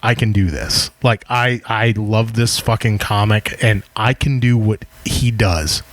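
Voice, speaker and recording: A man's voice: lowish voice, measured pace. A man hosting a casual podcast interview with a fellow comedian, often chiming in with short, encouraging agreements. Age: younger than his guest. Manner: supportive, chimes in with short agreements.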